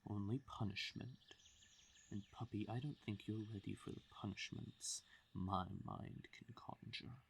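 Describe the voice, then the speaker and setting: A man voicing a smug, possessive fae king in an intimate roleplay audio drama, teasing and commanding with silky menace and drawn-out pauses. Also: on the low side, fairly even intonation, gravelly, a little hiss or hum. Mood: scolding, threatening.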